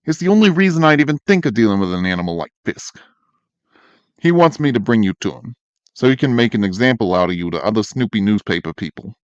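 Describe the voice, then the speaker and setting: A man's voice: fairly low pitch, lively intonation, fast, dry recording, lo-fi sound, natural voice. A man voicing a blunt, streetwise gangster who talks in tough slang, in a fan comic dub. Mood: gruff. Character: streetwise male gangster, torn but decent.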